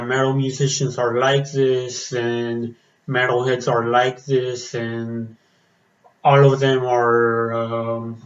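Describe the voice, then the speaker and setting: A man talks slowly through a casual reaction vlog, his voice slightly raspy from the cold. His pitch stays flat as he stops and restarts, filling gaps with ums and uhs. The recording sounds a little dull and muffled. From Spain. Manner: hesitant, many fillers and restarts. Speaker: metal vlogger. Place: outdoors in the cold.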